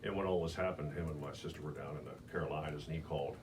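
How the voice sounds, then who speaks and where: An older man, a local resident, telling stories casually in a rambling, halting way with many fillers. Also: very deep voice, fairly even intonation. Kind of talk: casual storytelling. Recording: far from mic, loud background noise.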